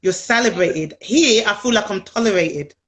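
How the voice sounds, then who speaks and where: A woman's voice: mid-range voice, animated, moderate pace, fairly bright tone. Earnest young British woman on a video call. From England. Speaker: viewer guest. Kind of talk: casual chat on a live stream.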